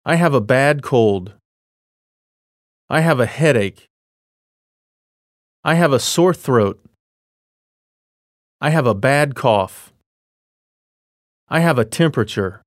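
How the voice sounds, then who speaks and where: A man reads phrases for language learners in a plain, measured way, his pitch sitting in the middle of the usual range. He speaks very slowly, and the recording is dry and close to the mic. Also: no echo. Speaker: language lesson voice actor. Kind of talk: scripted role-play and phrase reading.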